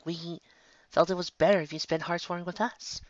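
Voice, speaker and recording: A woman's voice: lowish voice, brisk, dry recording, pitched above the performer's own voice, lightly acted. Woman voicing a soothing wise young mare. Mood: gentle. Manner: calm, explaining, reassuring. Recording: dull lo-fi home recording.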